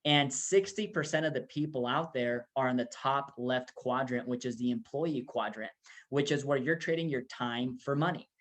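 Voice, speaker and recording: A man's voice: mid-range voice, normal speed, fairly bright tone. A man from Peru, presenting a casual, filler-heavy motivational talk about making money. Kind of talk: business opportunity presentation.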